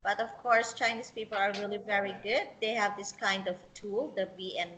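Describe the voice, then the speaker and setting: A woman's voice: mid-range voice, steady tone, fairly quick, a bit bright, a little hiss or hum, low-quality recording. A woman interviewing a contestant in English, chatty and encouraging, often laughing. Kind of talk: interview questions, casual.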